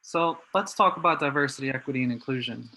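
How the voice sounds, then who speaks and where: A young man from New Jersey giving a prepared talk in an earnest, steady way. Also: mid-range voice, fast, low-quality recording. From New Jersey, USA. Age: young adult. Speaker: student speaker.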